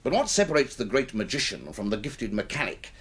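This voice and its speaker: An actor playing a jovial, eccentric upper-class British host and adventurer in a radio drama, with a blustery, old-fashioned manner. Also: male voice, mid-range voice, sing-song, a little fast, fairly bright tone, slightly rough. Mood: boastful, expansive. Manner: blustery, chuckling, old-fashioned turns of phrase.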